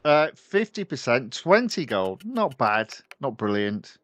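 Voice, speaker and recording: A man giving relaxed live commentary on a racing game and chatting with viewers. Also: medium pitch, slow pace. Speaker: gaming livestreamer. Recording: voice with constant game engine noise. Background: racing car engine sounds from the game.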